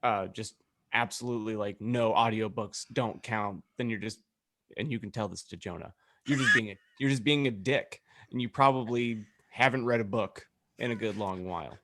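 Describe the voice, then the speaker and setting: A man with a lowish voice chats casually as a podcast co-host. His delivery is wry and joking, with a teasing streak. The sound is dry, with very little room in it. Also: moderate pace, pushed and bright, no room sound. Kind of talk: casual group banter.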